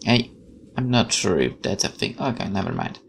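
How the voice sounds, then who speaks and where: A gaming YouTuber reads visual novel text aloud and comments on it. The delivery is expressive, with very lively intonation, breaking off to exclaim and question the story. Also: low-pitched, normal speed, vocal fry, a little hiss or hum. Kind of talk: let's play commentary, reading game text aloud.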